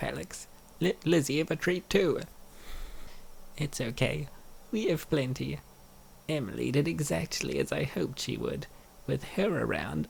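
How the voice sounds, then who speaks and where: A man's voice: fairly high pitch, creaky, darkish tone, slightly rough. A man performing an acted reading of comic dialogue, voicing the characters as a dub actor.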